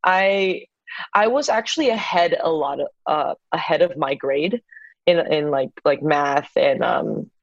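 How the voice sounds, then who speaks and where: Young woman rambling chatty in an interview, kinda lowish voice, dull dry lo-fi sound. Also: animated, unhurried, no echo. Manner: chatty, many fillers, laughs easily. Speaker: interview guest, singer. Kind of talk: casual interview talk.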